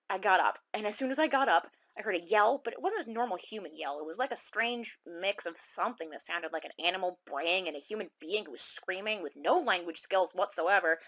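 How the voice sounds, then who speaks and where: A woman performs a shaken female caller on a horror radio show. She tells her own story in a confiding, suspenseful way, with a mid-pitched voice and dramatic delivery. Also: a bit bright, lightly acted, rough recording. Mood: fearful. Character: shaken young woman caller recounting a monster encounter.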